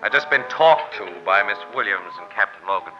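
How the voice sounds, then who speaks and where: An actor playing a weary, wisecracking crime photographer with a slangy, grumbling American delivery in a 1940s radio drama. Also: male voice, fairly low pitch, lively intonation, brisk, close to an everyday voice. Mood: glum. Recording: dull vintage radio recording, faint music.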